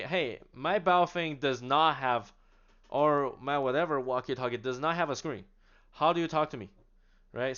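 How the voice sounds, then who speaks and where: A man casually demonstrating radio gear, chatty and animated, laughing now and then as he explains. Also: mid-range voice, dull audio. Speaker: product demonstrator. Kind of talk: casual product demo.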